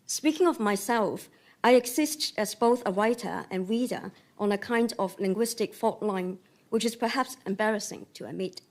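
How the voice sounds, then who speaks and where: A woman from Hong Kong, a native Cantonese speaker with a Hong Kong accent, reads a prepared talk as a panelist in a measured, carefully articulated way. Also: medium pitch. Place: public library.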